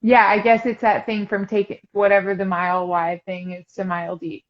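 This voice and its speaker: A woman in casual, reflective conversation on a podcast, halting as she searches for her words. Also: mid-range voice, flat delivery, lo-fi sound.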